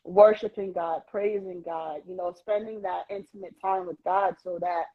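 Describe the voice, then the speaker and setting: A woman's voice: lowish voice, steady tone, normal speed, breathy edge. A woman from Queens, New York, reflecting as a podcast guest in casual chat, with many "um" and "you know" fillers. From New York City, Queens. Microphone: phone on a live social video stream. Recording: lo-fi livestream audio.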